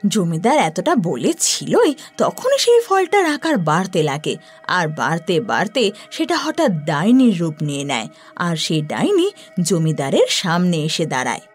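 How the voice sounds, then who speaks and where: Lively woman narrating a horror story. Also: fairly low pitch, unhurried, breathy edge, faint music behind. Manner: expressive storytelling.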